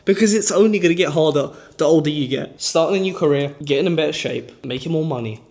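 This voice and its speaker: Blunt, swearing young man, a bit high-pitched. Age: early 20s. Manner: blunt, fast-talking, heavy swearing, urgent. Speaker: vlogger. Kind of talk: motivational talking-head rant. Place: outdoors or changing spots while filming.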